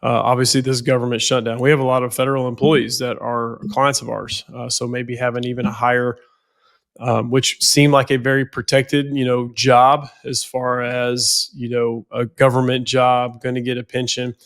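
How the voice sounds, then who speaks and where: A man's voice: mid-range voice, completely flat delivery, moderate pace, a bit bright. A financial planner with a head cold, sounding nasal, talking casually on a podcast and often repeating words as he goes.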